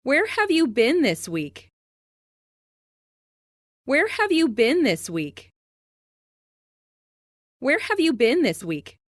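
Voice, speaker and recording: A woman reading scripted English example sentences for language learners, enunciating carefully and deliberately. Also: sits high, sing-song, dry recording, studio quality. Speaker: English lesson narrator.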